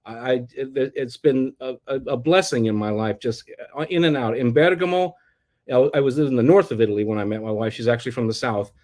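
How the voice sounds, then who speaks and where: A man's voice: mid-range voice, fairly quick, a little mellow. Rambling middle-aged American man. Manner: rambling, many restarts and fillers. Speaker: interview guest. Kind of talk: casual personal storytelling.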